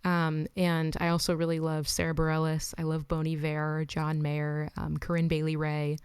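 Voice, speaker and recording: A woman's voice: low voice, monotone, normal speed, darkish tone, smooth, no room sound, studio quality. A woman in her twenties, chatting casually in an interview with lots of "like" and "um". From Los Angeles. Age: 20s. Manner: chatty, frequent "like" and "um", laughs between thoughts. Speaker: musician guest.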